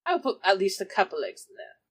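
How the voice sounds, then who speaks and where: A woman's voice: medium pitch, huge pitch swings, quick pace. A woman playing a tabletop roleplaying game, casually narrating and speaking for her character.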